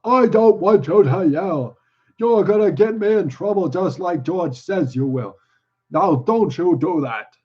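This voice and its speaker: A man's voice: medium pitch, moderate pace, darkish tone, highs cut off, close to an everyday voice. A man voicing a big, simple, childlike man in a storybook reading, with rustic, ungrammatical and earnest speech. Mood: angry.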